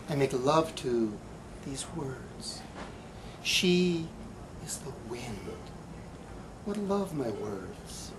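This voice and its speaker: Male poet, live reading, slow halting delivery, dramatic pauses, middle pitch, noisy amateur indoor recording. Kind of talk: live poetry reading with casual introductions. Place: bookstore reading event, indoors.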